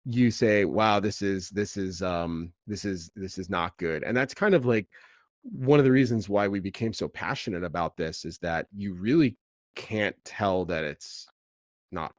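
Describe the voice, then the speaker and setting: A man with a deep voice talks as a tech founder being interviewed. He explains things casually and haltingly, often repeating words as he goes, in a dry recording with very little room sound. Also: lively intonation, no room sound. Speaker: tech founder as podcast guest.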